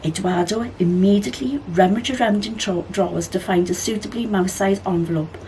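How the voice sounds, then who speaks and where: A woman reading a children's story aloud as a library storyteller, with expressive narration. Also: medium pitch, slight background noise, poor audio.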